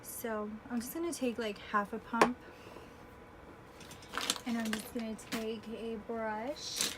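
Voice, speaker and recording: A woman in her twenties chatting casually through a makeup tutorial, self-deprecating and often clearing her throat, recorded on her phone at home. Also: fairly high pitch, steady tone, takes it slowly, noisy background. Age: 20s. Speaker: beauty vlogger. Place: home room with air conditioner. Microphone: phone camera.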